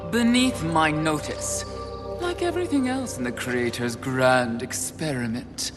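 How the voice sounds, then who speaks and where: An actor playing a haughty, contemptuous embodiment of sin in a video game, pompous, sneering and theatrical. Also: male voice, fairly high pitch, long reverb tail. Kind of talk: dramatic video game acting.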